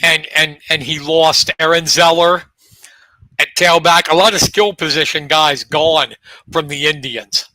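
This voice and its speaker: A man co-hosting a local high school sports talk show, chatting in a relaxed way and leading the rundown of teams. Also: measured pace, no echo, poor audio.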